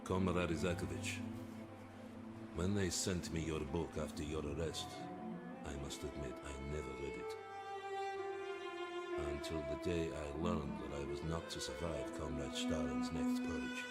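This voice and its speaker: An actor playing a doomed older Soviet officer, resigned and grave, in a game cutscene. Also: male voice. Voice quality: very deep, slow and grave. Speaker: officer facing execution. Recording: loud orchestral score behind.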